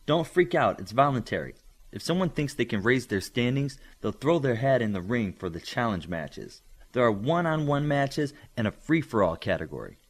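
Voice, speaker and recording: A man voicing a smart, assertive, chatty teenage girl in an audiobook, explaining things briskly and matter-of-factly. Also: mid-range voice, sing-song. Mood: eager, informative.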